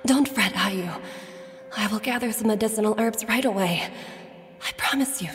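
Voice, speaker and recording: A woman voicing a caring, steady mother and wife in a game, speaking reassuringly. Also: medium pitch, normal speed, big-room sound, natural voice. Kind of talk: game voice acting.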